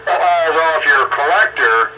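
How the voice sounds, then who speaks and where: A man's voice: a little high, normal speed, sharp tone, muffled recording. A man chatting casually as an operator on a CB radio, with a noisy transmission.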